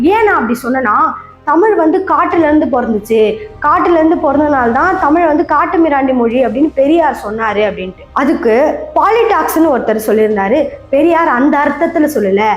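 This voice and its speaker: A woman host speaks in a high voice at a quick clip. She gives an informal, conversational explainer, and the room adds a noticeable echo. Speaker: channel host. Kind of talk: informal explainer commentary on social media feuds.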